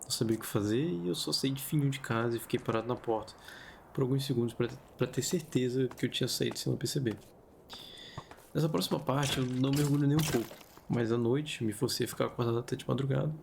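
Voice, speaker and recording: A Brazilian man hosts a story-reading video in a casual, conversational style. His mid-pitched voice has a creaky, gravelly edge. He slips in informal asides, and there is faint noise behind him. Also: quick pace. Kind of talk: casual chatty commentary and storytelling.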